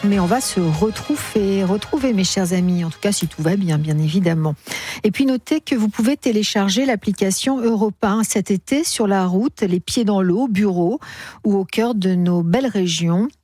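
Mellow-voiced woman hosting a call-in radio show. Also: medium pitch, husky, faint music behind. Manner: warm, chatty, quick to prompt the caller. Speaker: radio host. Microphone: studio microphone.

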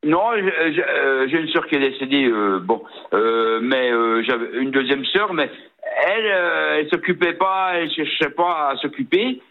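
A man's voice: fairly high pitch, lively intonation, normal speed. A man in his sixties from the Vosges with a regional accent, calling in to a radio show and talking hesitantly, with many fillers and restarts. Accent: Vosges French. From Vosges, France. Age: 60s. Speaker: caller. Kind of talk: phone-in call to a radio show. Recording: tinny phone line.

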